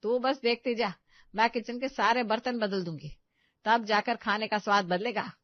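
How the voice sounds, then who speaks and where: A woman's voice: fairly high pitch, steady tone, moderate pace, dry recording, lo-fi sound, lightly acted. A woman voicing a bossy, middle-aged village mother-in-law who scolds and gives instructions. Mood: scolding.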